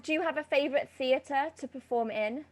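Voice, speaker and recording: A woman's voice: sits high, brisk. A woman speaking casually as the host of an interview chat. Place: remote online video chat.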